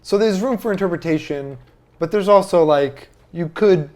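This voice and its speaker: A man's voice: high voice, normal speed, a little mellow. A man in casual, opinionated conversation as a podcast host, speaking informally. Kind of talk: casual chat.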